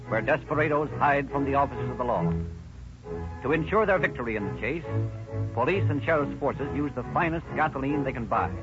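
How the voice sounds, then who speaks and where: A man announcing in formal, emphatic 1930s radio style. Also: mid-range voice. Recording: muffled old radio broadcast with music.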